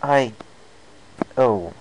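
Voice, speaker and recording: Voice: medium pitch, animated, drawn-out words, a little mellow, a little hiss or hum, pitched above the performer's own voice, low-quality recording. A performer voicing an earnest young male pegasus pony in a storybook reading, anxious and a little hesitant. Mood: deflated.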